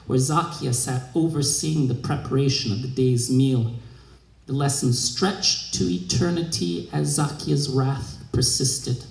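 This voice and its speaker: A man giving an expressive literary reading of a scripted short story. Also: on the high side, creaky. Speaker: literary reader. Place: live reading in a museum room. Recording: echoey room.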